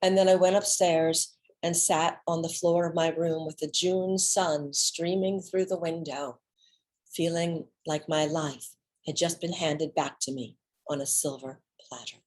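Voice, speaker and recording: An American woman giving a dramatic, autobiographical one-woman reading, theatrical and deliberate with dramatic pauses. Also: sits low, a little mellow. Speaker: solo performer, storyteller.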